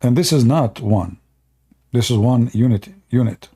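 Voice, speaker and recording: A man with an Arabic accent explains at length on a live stream, circling back and repeating his points. His voice is husky with a gravelly edge and a dark, mellow tone. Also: medium pitch, measured pace. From Middle East. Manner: unscripted explaining, with fillers and repetition.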